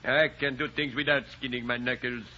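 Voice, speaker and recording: A man's voice: mid-range voice, steady tone, pushed and bright. A man acting a menacing bandit leader in an old radio drama, speaking with formal, mocking courtesy. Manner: formal, mock-courteous, deliberate. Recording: dull, noisy old radio recording.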